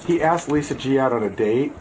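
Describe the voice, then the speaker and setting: Man explaining casually over clear noise. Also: mid-range voice, a little fast, a little mellow, rough recording.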